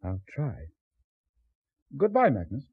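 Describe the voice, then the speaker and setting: Troubled British husband, husky. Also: male voice, mid-range voice, wildly swooping pitch, slow pace, no room sound, phone-quality sound, exaggerated voice. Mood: weary. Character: troubled, evasive middle-class husband, shaken and unwell. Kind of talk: 1970s British radio drama acting.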